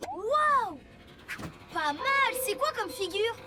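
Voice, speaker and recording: A woman voicing an eager, loyal little child motorbike in a French cartoon, excitable and earnest. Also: extremely high pitch, slow, very noisy, exaggerated voice. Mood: eager, concerned. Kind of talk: French cartoon dub acting.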